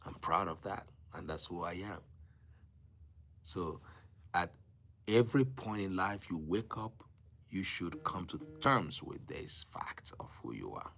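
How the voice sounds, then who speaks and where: A man with a Nigerian accent and Igbo as his native language, speaking as a teacher exhorting live-stream viewers in an emphatic, preaching way with repeated phrases and deliberate pauses. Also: sits low, big pitch swings, gravelly, a bit bright, a little hiss or hum. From Africa.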